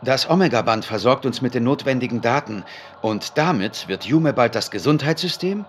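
Ein Schauspieler spielt in einem Hörspiel einen ernsten, idealistischen Wissenschaftler mittleren Alters, der förmlich und bedacht einen Protokolleintrag diktiert. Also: male voice, medium pitch, animated, a little hiss or hum, lightly acted. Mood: solemn, resolute. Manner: formal, measured, explanatory. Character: Dr. Michael Heine, earnest, idealistic middle-aged male scientist father. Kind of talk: dictated log entry, audio drama acting.

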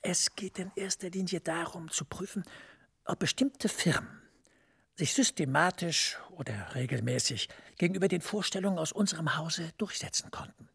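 An actor playing a scheming professor in a radio drama, speaking in a hushed, confiding way that often drops to a whisper. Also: male voice, sits high, normal speed, vocal fry, breathy edge, heavily acted. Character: scheming male professor, smooth-talking superior.

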